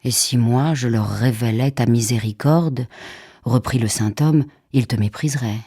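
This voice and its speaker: Female poetry reader for audiobook, breathy husky tone, unhurried solemn dramatic delivery. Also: very deep voice, dark tone. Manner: measured, solemn delivery with deliberate phrasing. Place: radio theatre recording studio.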